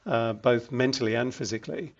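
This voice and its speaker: Older British businessman interviewee, measured and unhurried, slightly muffled recording. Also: mid-range voice, vocal fry. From United Kingdom. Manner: measured, frequent ums and self-restarts. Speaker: interviewee, regional executive. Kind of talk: casual interview.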